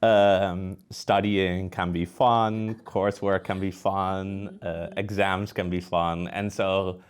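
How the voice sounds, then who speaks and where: A man's voice: deep voice, flat delivery, slow pace. A man with a Dutch accent, a researcher giving conversational, explanatory answers as an interview guest. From Netherlands.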